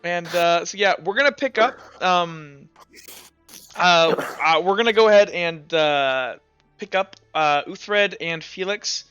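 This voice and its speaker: A man acting as game master, narrating and improvising in a casual, halting, conversational way. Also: sits high, bright, forceful tone, smooth, dry recording, muffled recording, faint music behind.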